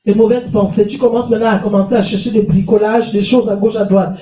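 A woman's voice: medium pitch, steady tone, quick pace, warm tone. A middle-aged woman pastor with a cold, preaching emphatically from a lectern with a handheld mic, repeating phrases and calling for amens. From France. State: has a cold. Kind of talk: sermon. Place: small church hall with stage and lectern. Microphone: handheld mic, far camera. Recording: echoey room, voice from a distance.

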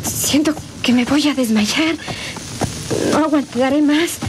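A woman's voice: sits high, slow, heavy background noise. A woman playing a wounded, desperate young daughter in a melodramatic radio serial, weak and gasping. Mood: fainting, desperate. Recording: old radio serial with music behind.

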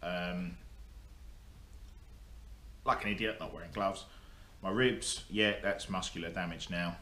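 A young man talks straight to a camera in his workshop, blunt and rambling, with plenty of ums and false starts. His voice sits very low and he takes his time. The room rings with echo over a faint hum. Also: fairly even intonation, unhurried, pushed and bright. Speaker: motorsport vlogger. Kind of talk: casual update to camera. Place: indoor workshop. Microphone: camera in front of him.

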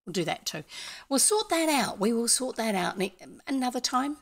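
A woman's voice: a little high, very animated, normal speed, vocal fry. An older woman chatting casually and in a rambling, informal way as a livestream host. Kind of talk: casual chat to livestream viewers. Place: indoor room, home streaming setup.

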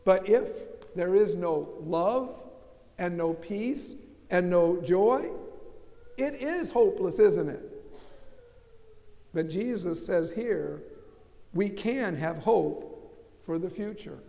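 A man's voice: sits high, lively intonation, takes it slowly, gravelly, long pauses, dark tone. An older American man preaching a sermon from the pulpit, emphatic and asking rhetorical questions. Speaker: pastor. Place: large church sanctuary. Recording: long church reverb.

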